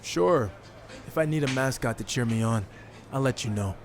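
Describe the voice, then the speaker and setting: A man's voice: mid-range voice, moderate pace, slight background noise, lightly acted. A man playing a confident, wry American fighter turned rookie wrestler in a video game cutscene, with a dry manner. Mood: teasing, dry. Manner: self-assured, dry.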